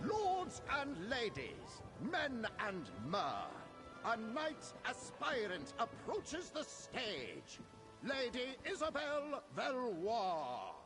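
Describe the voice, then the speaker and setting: High, wildly swooping male herald. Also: wildly swooping pitch, extremely slow, over music, exaggerated voice. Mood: grand, formal. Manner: formal, declamatory. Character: pompous male tourney herald announcing to nobles. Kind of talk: video game voice acting.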